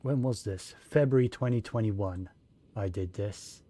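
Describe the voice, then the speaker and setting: A man's voice: lowish voice, a little slow, dark tone. A man giving casual live gameplay commentary, muttering and thinking aloud as he goes. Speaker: game streamer. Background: faint game music.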